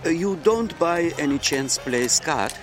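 A man's voice: fairly high pitch, expressive, takes it slowly, music in the background. A man playing a polite, hesitant card player in a children's radio play. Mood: polite, inviting.

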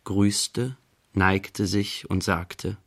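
Low-voiced man narrating a radio play. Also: fairly even intonation, very slow, a bit bright.

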